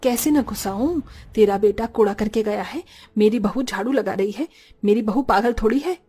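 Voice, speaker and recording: A woman's voice: medium pitch, normal speed, warm tone, husky, pitched below the performer's own voice, natural voice. A woman voicing a nosy, combative older mother-in-law in a cartoon story, with an accusing, quarrelsome manner. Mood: indignant.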